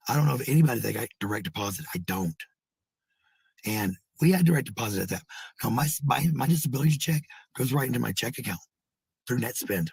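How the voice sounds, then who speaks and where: An American man with a husky, gravelly voice rambling casually to livestream viewers, blunt, sweary and given to repeating phrases. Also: mid-range voice, dry recording, poor audio. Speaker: live streamer.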